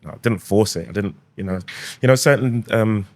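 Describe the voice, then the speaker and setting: A man in his forties, born in Jamaica, who speaks with a London accent and tells his story in a relaxed, easygoing way as a podcast guest. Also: on the low side, fairly quick, no room sound. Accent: London English with Jamaican roots. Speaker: guest, restaurant founder. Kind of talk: casual podcast interview.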